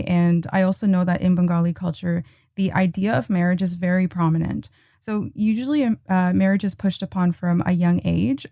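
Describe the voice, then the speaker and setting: A woman hosting a podcast, introducing her guest gently and asking questions. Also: lowish voice, dry recording. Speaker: podcast host. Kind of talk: podcast interview.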